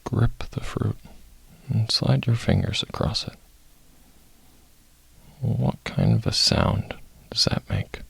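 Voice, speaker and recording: A man leading a guided meditation, soft-spoken and sometimes breathy, in short phrases with long pauses. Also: lowish voice, dry recording.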